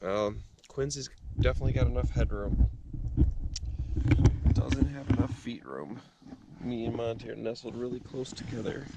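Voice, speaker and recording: A man's voice: mid-range voice, normal speed, gravelly, pauses often, heavy background noise. A young man vlogging casually while camping in the snow at night, chatting to his dog and cooking over a fire. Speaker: outdoor vlogger. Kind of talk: casual camp vlog narration. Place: outdoors at a campfire in snow at night, then inside a snow shelter.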